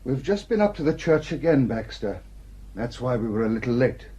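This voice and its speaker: An actor playing a courteous, shrewd village rector in a radio drama, speaking in a polite, measured, probing way. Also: male voice, medium pitch, big pitch swings, dark tone, slightly rough. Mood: gently accusing.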